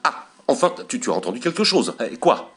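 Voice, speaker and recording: A man's voice: mid-range voice, big pitch swings, brisk, fairly bright tone, breathy edge, faint music behind, pitched above the performer's own voice. A man voicing a flustered, indignant young wife who stammers and exclaims in repeated words. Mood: exasperated.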